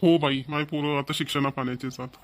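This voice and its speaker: Man doing a proud doting village dad, middle-aged, slightly high voice, earnest, rural maharashtrian marathi accent, cartoon. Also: steady tone, quick pace, close to an everyday voice. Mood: chatty. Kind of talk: Marathi cartoon family comedy voice-over.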